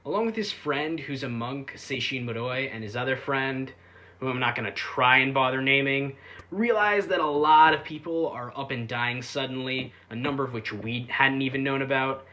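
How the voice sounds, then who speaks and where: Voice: mid-range voice, moderate pace, pushed and bright. A reviewer narrating a scripted but casual video review, with sarcastic asides and dry humour.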